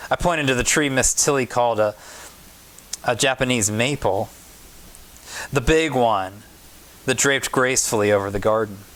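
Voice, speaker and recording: A man, a pastor, reading a storybook aloud to children deliberately, pausing between phrases. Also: mid-range voice, a bit bright, rough recording. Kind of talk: storybook read-aloud with informal remarks to children.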